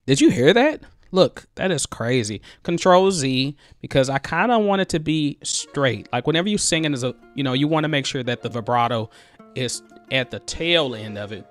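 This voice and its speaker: A man in his thirties, an excitable, conversational host walking through music software in a home studio on a headset mic. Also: mid-range voice, moderate pace, dry recording. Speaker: music producer, tutorial host. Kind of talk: screen-share software tutorial. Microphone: headset with boom mic.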